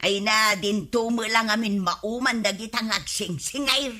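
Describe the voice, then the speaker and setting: A woman playing an excitable, scheming village debt-dodger in a comedy radio drama, with an Ilocano accent and exclamatory delivery. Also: mid-range voice, unhurried. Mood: annoyed scheming. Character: excitable debt-dodging village woman who hides from collectors.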